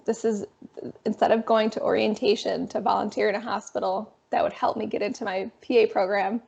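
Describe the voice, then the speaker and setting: A young woman in her twenties with a raspy voice, a guest telling her story in a casual, animated interview. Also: mid-range voice, a little fast. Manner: animated, frequent fillers. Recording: dull lo-fi sound.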